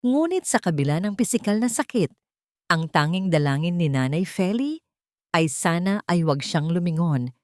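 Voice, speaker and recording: Low-voiced woman narrating a dramatic story. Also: lively intonation, moderate pace, darkish tone, no echo, studio quality.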